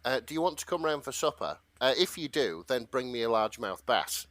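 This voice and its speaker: A man's voice: medium pitch, big pitch swings, fairly quick, a bit bright, no echo. British man, close dry sound, chatting casually. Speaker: podcast co-host. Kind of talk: casual chat, retelling fanfiction.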